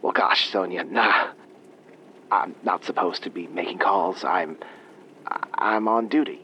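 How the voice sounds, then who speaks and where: A man's voice: low voice, a little slow, pushed and bright. An actor playing a lonely, lovestruck male prison inmate calling a radio show, bashful and stammering over a phone line. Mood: nervous, evasive. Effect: phone caller filter. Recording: dull phone line with noise.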